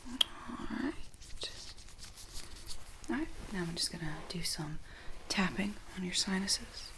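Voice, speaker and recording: A woman doing ASMR, playing a doctor at a checkup, soft-spoken and often whispering as she asks gentle questions close to a sensitive mic. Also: mid-range voice, animated, gravelly, background noise. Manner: slow, gentle clinical questions. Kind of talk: ASMR medical exam roleplay.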